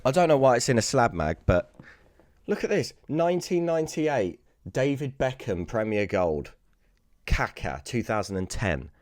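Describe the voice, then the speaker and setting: A British man with a hoarse, raspy voice that sounds worn out, hosting a casual sports card unboxing video. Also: medium pitch, very animated, moderate pace, darkish tone, dry recording, studio quality. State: hoarse, lost voice from screaming the night before.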